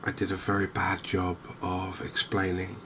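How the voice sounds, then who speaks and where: A man giving softly spoken ASMR commentary on a game, hushed, hesitant and unhurried, close to the microphone. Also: deep voice, completely flat delivery. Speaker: gaming video host. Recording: clear background noise.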